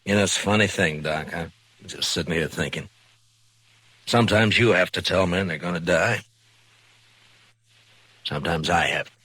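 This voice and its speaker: An actor playing a steady, middle-aged American marshal in an Old West radio drama, dry and plain-spoken. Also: male voice, low-pitched, moderate pace, pauses often, slightly rough, dry recording, heavily acted. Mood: reflective.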